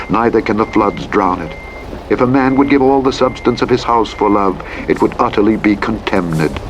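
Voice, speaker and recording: Low-pitched man reading scripture over engine noise. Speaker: Bible narrator. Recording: clear vehicle and road noise throughout. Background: truck engine and road sounds from a driving game.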